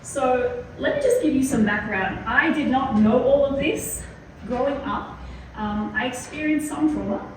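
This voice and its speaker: An Australian woman gives a talk in a lecture hall, chatty and eager, her slightly high voice scattered with fillers. She stands some way from the mic, and the room rings with heavy echo and steady background noise. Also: measured pace, recorded from a distance. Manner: enthusiastic, chatty, with many fillers. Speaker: keynote speaker. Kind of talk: presentation to a small audience. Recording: very echoey hall, heard from afar.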